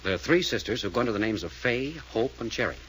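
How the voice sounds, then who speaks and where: An actor playing a brisk, probing young detective who takes charge in an old-time radio serial. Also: male voice, medium pitch, animated, a bit bright, a little hiss or hum, natural voice, poor audio. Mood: commanding. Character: calm, sharp young male detective named Jack. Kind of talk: 1940s radio acting.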